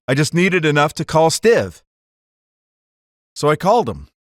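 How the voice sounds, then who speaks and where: A man's voice: fairly high pitch, very animated, measured pace, dry recording, clean studio sound. A man playing a hot-headed card-cheating gangster telling his story in slangy 1940s radio drama style. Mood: narrating, bitter.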